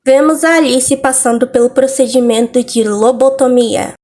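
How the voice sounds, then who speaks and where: A woman's voice: high-pitched, normal speed, darkish tone, slight background noise. A Brazilian woman narrating a scripted video recap and commentary, explaining things with informal asides. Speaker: video essayist.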